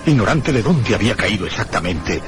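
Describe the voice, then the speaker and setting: An actor playing a haunted man recounting his ordeal in a radio horror drama, with theatrical, deliberate phrasing. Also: lowish voice, big pitch swings, music in the background. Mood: haunted dread.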